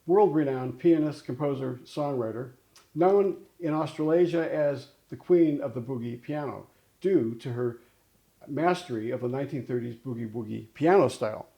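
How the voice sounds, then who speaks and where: Mature guy hosting an interview, mellow dark voice, chatty questions, medium pitch. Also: moderate pace, vocal fry, muffled recording. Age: middle-aged or older. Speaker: interview host. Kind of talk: conversational interviewing.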